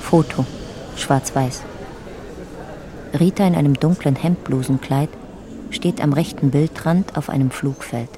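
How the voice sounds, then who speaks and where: An actress playing a detached narrator in a radio drama, describing things in deadpan, clipped phrases. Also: female voice, low voice.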